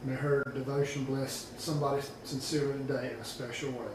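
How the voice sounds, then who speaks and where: A man leads an earnest prayer at a steady, measured pace. His voice comes from far off the mic in a heavily echoing room. There is clear background noise behind him. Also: mid-range voice. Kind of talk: spoken prayer. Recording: very echoey, distant, noisy.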